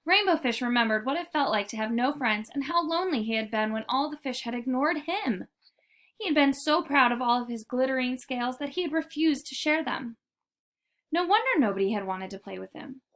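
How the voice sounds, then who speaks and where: A woman narrating a children's picture book in an expressive, deliberate storytelling manner. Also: high-pitched. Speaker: read-aloud storyteller.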